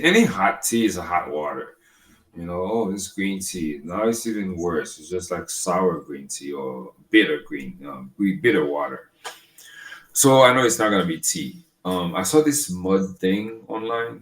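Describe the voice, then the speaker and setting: A man with a very low, deep voice co-hosts a podcast. He chats in a loose, rambling way, swearing freely and drifting from one thought to the next. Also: very animated, normal speed, fairly bright tone, low-quality recording. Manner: laid-back, rambling, profane. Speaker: podcast co-host. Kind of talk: casual chat.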